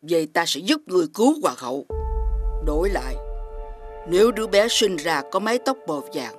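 A woman playing a menacing, scornful forest witch in an animated fairy tale, with an imperious, taunting delivery. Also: on the low side, soft background music. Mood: demanding. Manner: imperious, taunting, drawn-out threats.

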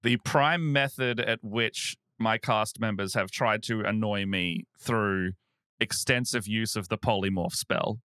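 A man's voice: lowish voice, unhurried, pushed and bright, clear, resonant tone, no echo, studio quality. An Australian-accented man chatting casually as a podcast guest. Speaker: podcast guest, game master. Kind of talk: casual chat.

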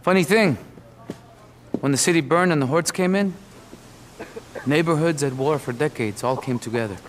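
A man's voice: fairly high pitch, normal speed, slight background noise, close to an everyday voice. A man playing a world-weary, streetwise army camp doctor in a video game, plainspoken. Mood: reflective. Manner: plainspoken, knowing, slips into Spanish. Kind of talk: video game cutscene acting.

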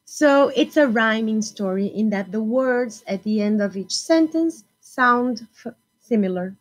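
Woman, thirties, children's storytime host, high pitch, very slow pace, expressive, simple phrasing. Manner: deliberate, simple phrasing for young listeners. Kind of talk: expressive picture-book reading for children.

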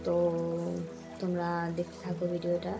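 A woman's voice: lowish voice, completely flat delivery, moderate pace, over loud music. A young woman, talking casually to her viewers from a phone held at arm's length in a small room at home, with English words mixed in. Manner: chatty, addresses viewers directly, mixes in English words. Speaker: vlogger. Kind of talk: casual vlog narration to camera. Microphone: phone camera held at arm's length.

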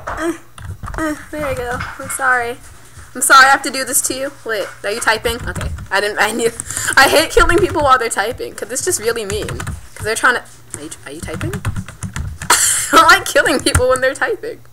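A woman's voice: high-pitched, brisk. A woman giving live gameplay commentary, yelping, pleading and cheering as she plays. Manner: excitable, yelps and pleads mid-game. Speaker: gaming channel host. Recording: clicky keyboard noise. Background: keyboard and mouse clicks.

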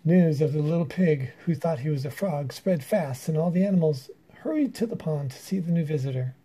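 A man reading a children's story aloud in an animated, dramatic way. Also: on the high side, a little fast, breathy edge. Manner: animated, dramatic, with character voices. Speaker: storyteller reading a picture book. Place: room in a house.

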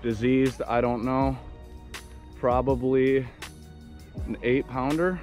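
A young man narrates his own fishing outdoors at an everyday middle pitch, bursting into excited exclamations for his viewers. His voice is caught by an action camera beside a small wooded creek. Clear music plays under the voice. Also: slow. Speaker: fishing vlogger.

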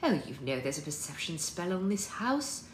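A woman's voice: on the low side, very animated, moderate pace. Woman playing an imperious mother, roomy recording. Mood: cool, dismissive. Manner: haughty, clipped, dismissive. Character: imperious, cool-headed mother, haughty and snappish. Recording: roomy, faint background noise.

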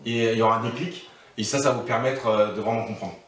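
A man's voice: medium pitch, fairly even intonation, fast, a little hiss or hum. A man in his thirties giving casual advice as an interview guest, thoughtful and full of restarts. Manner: thoughtful, many restarts and fillers. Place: living room, on a couch. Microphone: far camera mic. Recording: echoey room, from a distance.

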